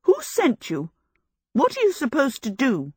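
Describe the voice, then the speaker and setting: A woman's voice: high voice, huge pitch swings, measured pace, a little mellow, husky, no echo, studio quality, pitched above the performer's own voice, heavily acted. A woman voicing a flustered, determined heroine who frets and blames herself. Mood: questioning. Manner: fretful. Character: flustered, self-blaming heroine, quick and determined.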